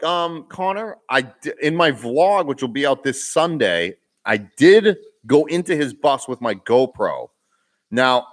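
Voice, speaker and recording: A young man with a Boston accent chatting casually on a live stream, reading comments aloud, laughing often and restarting his sentences. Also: medium pitch. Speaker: vlogger hosting a live Q&A.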